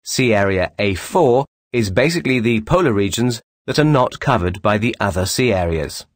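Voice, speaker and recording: Male instructional narrator, lower register, measured formal delivery, very dry close studio sound. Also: lively intonation, no echo.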